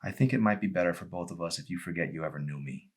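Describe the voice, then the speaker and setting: A man voicing a tormented, withdrawn young man in an audiobook, halting and anguished with guilt. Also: sits low. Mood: remorseful.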